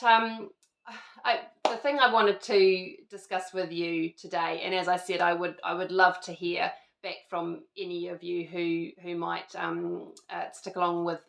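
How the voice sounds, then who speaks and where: A middle-aged woman with a New Zealand accent chats to her viewers from home, rambling through fillers and restarts. Her voice sits a little low and has a gravelly edge. The room around her sounds echoey. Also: a bit bright. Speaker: vlogger. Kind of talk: casual chat to camera. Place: at home indoors.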